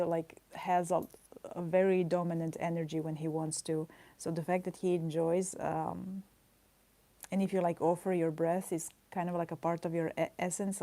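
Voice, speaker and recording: A woman's voice: on the low side, dark tone. A woman livestreaming while she draws, chatting casually with her viewers. She rambles in playful asides, laughs often and teases her chat with mock indignation. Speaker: livestreaming artist.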